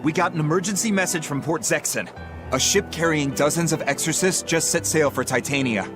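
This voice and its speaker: A man plays a loyal pirate crewman with a fairly high voice, brisk and urgent. Game music plays clearly behind his report. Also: natural voice. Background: game score.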